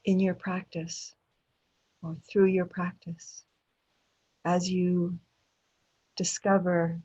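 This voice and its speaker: A woman meditation teacher leading a guided meditation in gentle short phrases with long pauses between them. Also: lowish voice, level tone. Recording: dull, muffled lo-fi audio.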